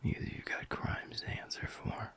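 A man playing a teasing, affectionate alpha werewolf boyfriend in an intimate audio roleplay, speaking in a breathy, husky near-whisper. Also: natural voice. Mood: soft, amused. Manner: playful teasing with soft chuckles and unhurried pauses.

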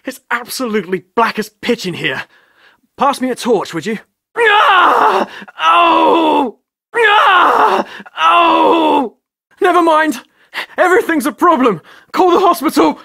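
Game role: a joking British tour guide, young, bright tense voice, lively pitch. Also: male voice, slow pace, gravelly, no echo, clean studio sound, heavily acted. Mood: panicked. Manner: sarcastic, joking. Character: wry, cash-strapped young male tour guide, injured. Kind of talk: video game character voice lines.

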